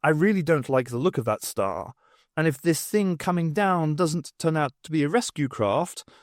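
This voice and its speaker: Guy doing an earnest lady professor in a storybook, emphatic, measured, dry recording. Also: a little high, animated, no echo, natural voice. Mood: concerned, emphatic. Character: earnest, emphatic female senior academic.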